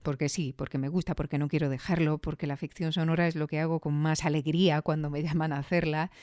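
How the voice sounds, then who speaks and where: A woman with an accent from Spain hosts a podcast in a reflective, conversational way. Her voice is soft-edged and mellow, sitting a little low. The recording is dry and close, and she leaves thoughtful pauses as she goes. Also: no echo. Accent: Spanish from Spain. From Granada, Spain. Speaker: podcast host.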